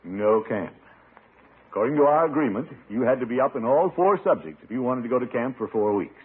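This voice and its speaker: An actor playing a pompous but soft-hearted middle-aged uncle, theatrical and chuckling, in 1940s radio comedy style on an old broadcast. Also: male voice, medium pitch, animated, fairly quick, slightly rough, phone-quality sound. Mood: stern.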